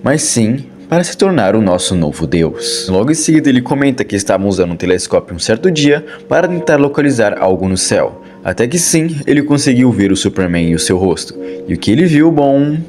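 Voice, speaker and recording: A man's voice: medium pitch, very animated, fairly quick, hardly any pauses, darkish tone. A man with a Brazilian accent giving casual, chatty scripted commentary as the host of an online video. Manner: informal, chatty storytelling with colloquial turns. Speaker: YouTube host and narrator. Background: background music.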